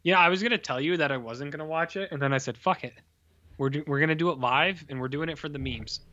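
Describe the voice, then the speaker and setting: Guy with a highish voice joking around on a podcast, swears, acts out lines. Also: quick pace, bright, forceful tone. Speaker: podcast co-host. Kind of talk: casual film discussion. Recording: dull, dry lo-fi mic.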